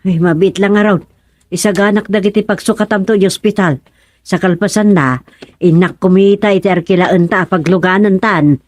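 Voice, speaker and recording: A woman's voice: medium pitch, steady tone, normal speed, darkish tone, no room sound, natural voice. Woman acting a blunt village wife, dry close sound. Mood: reproachful, caring. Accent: Filipino, Ilocano. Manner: questioning, pointed. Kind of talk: radio comedy drama acting.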